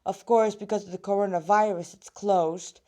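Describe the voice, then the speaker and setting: Woman reading her fanfic out loud, kinda rusty voice, medium pitch, chatty, keeps wandering off into side comments. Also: steady tone, moderate pace. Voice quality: slightly rusty voice. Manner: rambling, digressive, often stopping mid-sentence to comment. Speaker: fan fiction author reading her story aloud. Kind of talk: casual reading aloud with chatty asides.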